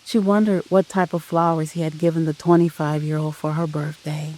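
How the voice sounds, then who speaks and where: A narrator reading a scripted audio drama in a solemn, sentimental, deliberate way. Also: mid-range voice, flat delivery, a little mellow, rough recording.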